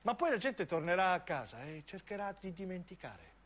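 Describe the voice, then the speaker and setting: A man narrates as a stage actor, in intense, deliberate phrases of dramatic radio storytelling. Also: sits high, sing-song, a bit bright. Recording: piano music under the voice. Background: piano and keyboard music.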